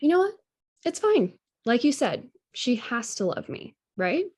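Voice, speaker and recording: A woman's voice: a little high, sing-song, measured pace, a little mellow, husky, exaggerated voice. A woman reading a sassy, affectionate girlfriend in a naturalistic drama table read, playful and conversational. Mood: determined. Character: sassy, affectionate girlfriend meeting boyfriend's family.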